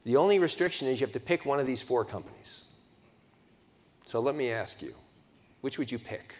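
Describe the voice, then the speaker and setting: A man's voice: medium pitch, animated, brisk. An older man, in his sixties, delivers a conference keynote from a podium in a large hotel ballroom, miked with a lapel microphone. He keeps the audience involved with questions and often restarts his sentences mid-thought. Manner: engaging, asks the audience questions, frequent restarts. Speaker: keynote speaker. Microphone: lapel mic at a podium.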